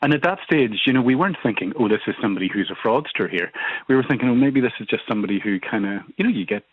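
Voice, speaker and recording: Minister on a phone line. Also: male voice, medium pitch, lively intonation, breathy edge, no room sound. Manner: hesitant, repeats words, recounts events slowly. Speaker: church minister. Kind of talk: radio interview guest. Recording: tinny dry phone line.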